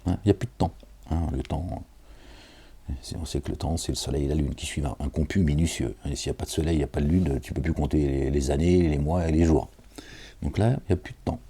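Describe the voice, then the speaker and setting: Male preacher, very deep voice, husky; reads scripture loosely, sounds tired, chatty asides. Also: fast talker, dark tone. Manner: casual, digressive, chuckles, addresses listeners directly. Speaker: self-styled preacher reading and commenting on scripture. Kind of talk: informal scripture reading with commentary.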